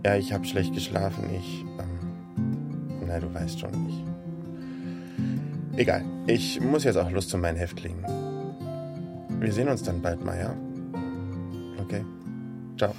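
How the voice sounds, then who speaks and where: Low-voiced, rambling brother. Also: male voice, slow pace, creaky, long pauses, full character voice. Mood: casual, tired. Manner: hesitant, trailing off. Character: casual, rambling older brother leaving a phone message. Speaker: actor in a radio drama. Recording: loud plucked guitar music behind.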